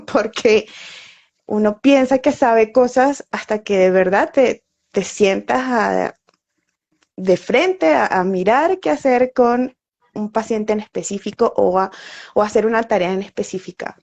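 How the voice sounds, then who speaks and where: A woman's voice: mid-range voice, unhurried, creaky, a little mellow. A woman from Venezuela, an oncology nutritionist, chatting casually on a live stream with many fillers and frequent laughs. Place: home, living in Bogotá. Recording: dull lo-fi sound.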